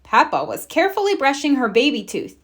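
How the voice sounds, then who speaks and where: A woman reading a children's storybook aloud in an expressive, deliberate storytelling style. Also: high voice. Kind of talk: read-aloud scripted narration.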